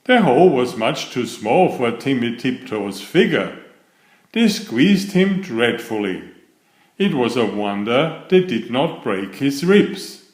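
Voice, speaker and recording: A man reading a children's story aloud in a measured, expressive storytelling manner. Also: mid-range voice, echoey room.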